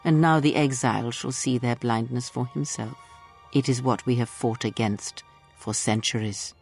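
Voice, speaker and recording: An older woman playing a weary, cryptic and manipulative mentor in a video game, speaking in slow, solemn measured phrases. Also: rumbling low, fairly even intonation, a little mellow, soft background music. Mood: cold, knowing. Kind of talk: video game dramatic acting.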